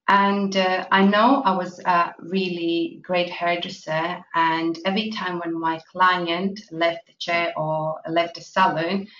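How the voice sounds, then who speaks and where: A woman from Latvia presenting an investment opportunity, speaking halting full of fillers. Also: on the low side, fairly bright tone. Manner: halting, many fillers, non-native grammar. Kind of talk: promotional presentation. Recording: echoey, distant, dull lo-fi with faint noise.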